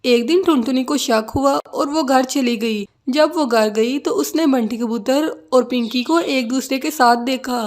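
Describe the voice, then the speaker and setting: High-pitched woman narrating a cartoon story. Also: a little slow, hardly any pauses.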